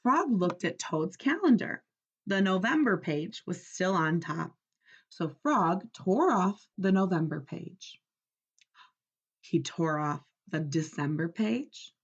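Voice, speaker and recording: A woman reads aloud like a teacher with young children. Her pitch moves around a lot and her pace is unhurried, with questions to check they follow. The recording is slightly dull. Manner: expressive, instructive, checking understanding.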